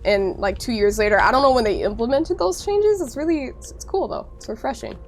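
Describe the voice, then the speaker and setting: A woman's voice: fairly high pitch, animated, a little fast, a little mellow. A woman streaming a game, chatting casually and directly to her viewers, sounding congested from a cold. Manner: chatty, talks straight to viewers with lots of "like". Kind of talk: casual live-stream gameplay chat.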